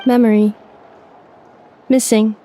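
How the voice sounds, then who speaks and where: A woman voicing an amnesiac girl robot who speaks in clipped, flat status reports. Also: on the high side, takes it slowly, very noisy. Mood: mechanical.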